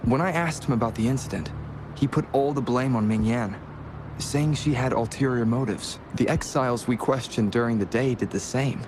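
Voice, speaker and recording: Composed male investigator, mid-pitched, played by an actor. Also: normal speed, close to an everyday voice. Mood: analytical. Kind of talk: video game voice acting. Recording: clear background ambient noise.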